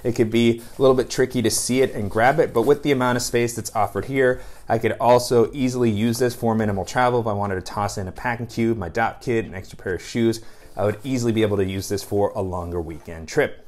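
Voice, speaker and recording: A man's voice: medium pitch, steady tone, fast, a little mellow. An American man in his thirties narrating a product review in a casual, conversational and explanatory way.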